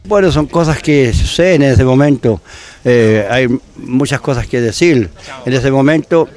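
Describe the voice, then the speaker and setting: An older man with a Chilean accent, a former footballer recalling a match in halting, repeated phrases. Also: medium pitch, darkish tone, faint music behind. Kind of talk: archive interview recollection.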